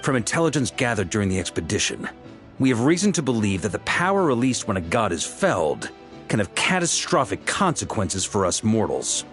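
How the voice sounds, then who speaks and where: An actor playing an authoritative knight commander in a video game, measured and confident, speaking formally and deliberately. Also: male voice, on the low side, sing-song, a bit bright, soft background music. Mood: grave, explanatory.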